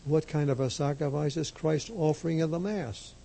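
Slow gravelly priest guy, dark husky voice, slovak first language, hammers and repeats his points. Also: on the high side, fairly even intonation, slight background noise. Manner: emphatic, measured, repeats key phrases. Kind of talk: slow deliberate sermon.

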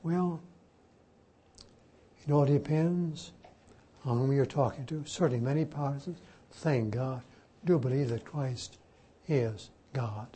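A man's voice: medium pitch, slow pace, long pauses, warm tone, breathy edge. An older man, a Jesuit priest whose first language was Slovak, teaching a class informally and spelling words out letter by letter. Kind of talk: informal lecture and Q&A.

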